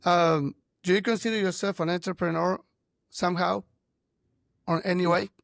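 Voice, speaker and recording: A man hosting an interview, a native Spanish speaker who talks haltingly with many "right?" checks. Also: high-pitched, fairly even intonation, creaky, fairly bright tone, no echo, studio quality. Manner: halting, frequent "right?" and "you know". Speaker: podcast host. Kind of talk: interview conversation.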